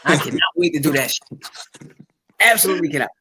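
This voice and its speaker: A male actor guest in a casual interview, rambling and quick, laughing often. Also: a little high, lively intonation, bright, forceful tone.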